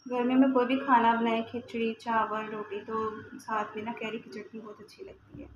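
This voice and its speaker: A woman talks viewers through her day at home in a relaxed, explanatory way, her pitch sitting a little high but hardly moving. The home recording carries a clear layer of background noise. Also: normal speed, muffled recording. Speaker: home cooking vlogger. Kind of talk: casual chat narrating daily chores and cooking. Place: home kitchen, indoors.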